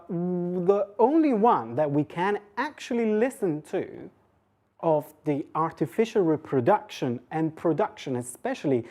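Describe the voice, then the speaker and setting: A woman's voice: sits low, warm tone. A woman lecturer giving an informal academic talk in a conversational way, often breaking into her own sentences with asides. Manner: conversational, self-interrupting asides.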